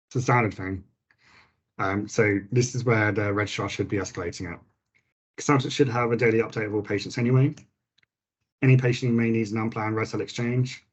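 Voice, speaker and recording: A man giving an informal clinical teaching session to nurses, his voice slightly croaky, with frequent fillers and restarts. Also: fairly low pitch, dull audio.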